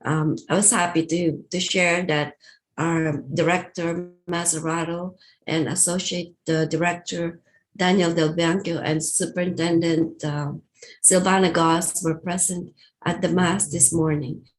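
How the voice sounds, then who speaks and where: A woman's voice: on the low side, level tone, measured pace, low-quality recording. A woman school board trustee sharing good news in a meeting, friendly and slightly hesitant, with small restarts. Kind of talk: giving a report in a meeting.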